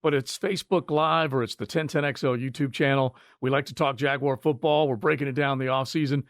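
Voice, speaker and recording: A man's voice: medium pitch, steady tone, fairly quick, dry recording, studio quality. A man hosting a casual sports talk show in a folksy, joking manner. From southern United States.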